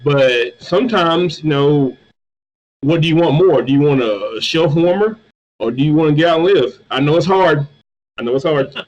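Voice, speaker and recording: A man's voice: fairly high pitch, normal speed, dull audio. A man from North Carolina, a guest in a casual interview, who often stammers and repeats his words. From North Carolina, USA. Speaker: guest, toy reviewer.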